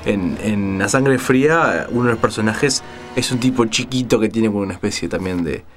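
A man's voice: lowish voice, normal speed, faint music behind. A man chatting casually as a co-host on a radio show. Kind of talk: casual chat.

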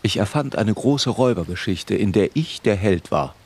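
A man giving a measured, deliberate literary narration in a radio play. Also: fairly low pitch, lively intonation, a little mellow, husky.

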